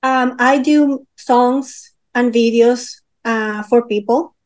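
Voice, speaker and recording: A young woman from Peru, interviewed as a musician, with a notably high voice. She talks casually and feels her way through answers, pausing with fillers and restarting sentences as she hunts for the right word. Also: fairly even intonation, a little mellow. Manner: searching for words, many fillers and restarts. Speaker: guest musician.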